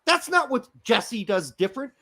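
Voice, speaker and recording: Brief: fifty-year-old American-accented man, co-host, profane ranting, lively pitch swings. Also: moderate pace. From Germany. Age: 50s. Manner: profane ranting, loud outbursts. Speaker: podcast co-host. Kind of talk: casual chat.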